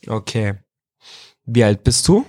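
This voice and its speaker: A man's voice: fairly low pitch, very animated, takes it slowly, mellow, dry recording, clean studio sound. A man from Iraq interviewing young people in a casual way, often restating his questions in other words. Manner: informal, rephrases his questions several ways.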